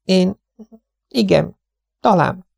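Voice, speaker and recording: A man's voice: high voice, animated, gravelly, breathy edge, dry recording, studio quality, pitched above the performer's own voice, exaggerated voice. A man doing a timid, frightened wife. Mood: anxious. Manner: meek, faltering, hushed. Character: timid, frightened married woman.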